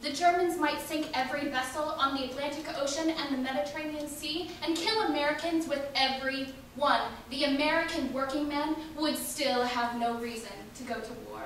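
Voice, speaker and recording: A woman's voice: sits high, fairly bright tone. A young woman giving an emphatic, dramatic reading of a political speech. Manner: emphatic oratory. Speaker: reader of historical speeches. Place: large indoor hall at a live event. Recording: very echoey hall, distant mic, faint noise.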